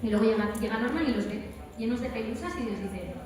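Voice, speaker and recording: Female panel guest, Spain accent, emphatic storyteller. Slightly high pitch, clear crowd behind her. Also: fast. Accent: Spanish from Spain. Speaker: voice actress, guest speaker. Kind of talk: live panel talk, anecdotes and advice. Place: large echoey event hall at a convention. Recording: echoey hall, distant mic, crowd noise. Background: crowd chatter.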